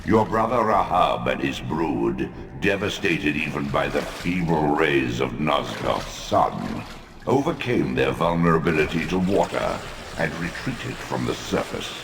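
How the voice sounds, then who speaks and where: A booming, gravelly elder god. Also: male voice, sing-song, a little slow, heavily acted. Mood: ominous. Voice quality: deep, gravelly, echoing. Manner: solemn, ominous, declamatory. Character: ancient male elder god, deep and commanding. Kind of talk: video game voice acting and narration. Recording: reverberant game audio with music.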